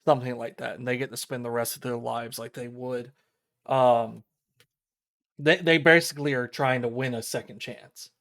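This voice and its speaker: A man giving casual commentary on a visual novel playthrough, explaining things in a rambling, halting way. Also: mid-range voice, steady tone, vocal fry, a bit bright. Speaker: game streamer. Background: game music.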